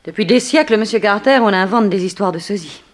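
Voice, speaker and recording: A woman's voice: mid-range voice, expressive, brisk, lightly acted. An actress in an early 1960s French radio play, voicing a seductive, teasing, coolly persuasive woman thief. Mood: earnest.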